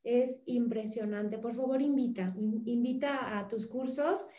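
A Mexican woman hosting an interview, talking in halting bursts full of fillers and restarts, gushing with thanks. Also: a little high, dark tone, roomy sound. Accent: Mexican Spanish. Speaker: interview host. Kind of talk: casual interview chat.

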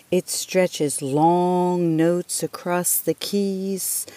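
A woman poet reciting her own verse solemnly, with deliberate, dramatic phrasing. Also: mid-range voice, dark tone, no echo. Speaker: poet reading own poems.

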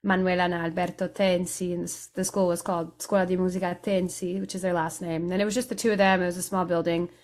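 A woman who grew up in Europe, talking casually as an interview guest, explaining things reflectively with thinking pauses. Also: fairly low pitch, level tone, a little mellow. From Europe: Italy, England and France.

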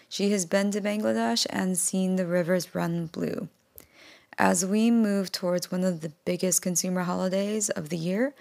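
Woman podcast host, low register, heavy vocal fry, steady scripted read.

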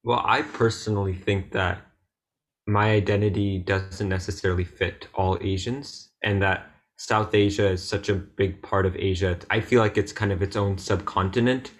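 A teenage boy speaks earnestly, working out his thoughts as he goes. His voice sits on the low side. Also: fairly even intonation, moderate pace. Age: teens. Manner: earnest, thinking aloud. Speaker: student. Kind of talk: casual conversation.

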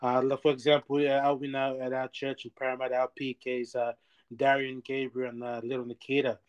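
A man hosting a casual podcast interview. His voice is at an ordinary pitch for a man, and he talks in a lively, chatty way, repeating "amen" and stumbling through fillers and restarts. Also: fairly quick, clean tone, highs cut off. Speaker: podcast host.

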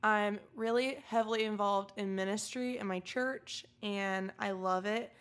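A woman's voice: mid-range voice, fairly even intonation, measured pace, a bit bright. A woman of about twenty, co-hosting a podcast in a casual, bubbly and candid chat. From California, USA. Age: 20s. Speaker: podcast co-host.

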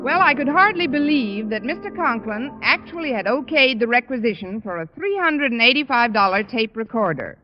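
A woman's voice: a little high, sing-song, brisk, hardly any pauses, music in the background. An American woman acting as a wry, wisecracking schoolteacher in an old radio comedy, with dry, teasing, articulate delivery. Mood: bemused narration. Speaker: lead actress and narrator of a radio comedy. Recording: muffled old radio recording, studio audience, noise.

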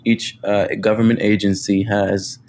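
A man speaking as a guest on a podcast, talking humbly about his work with many pauses and fillers. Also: on the low side, little pitch movement, darkish tone, slight background noise. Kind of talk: casual interview.

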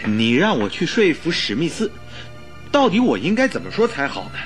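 A loyal, worried companion in a radio drama, with a high-pitched voice that swings expressively up and down. He is earnest and fretful, given to exclamations. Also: huge pitch swings, normal speed, fairly bright tone, faint music behind. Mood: anxious. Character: anxious, loyal male friend of a sick detective.

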